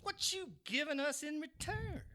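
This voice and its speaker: A man's voice: extremely high pitch, normal speed, sharp tone. A man playing a crude, leering street bully in an audio drama, slangy and swaggering.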